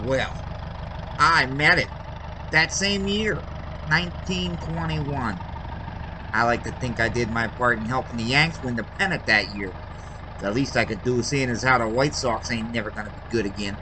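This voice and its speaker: An actor playing a talkative, folksy 1920s Chicago cab driver in an audio drama, with an American accent and a slangy, rambling way of telling a story. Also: male voice, mid-range voice, moderate pace, noisy background, close to an everyday voice. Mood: chatty, curious. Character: talkative 1920s Chicago cabbie, folksy baseball-loving yarn spinner.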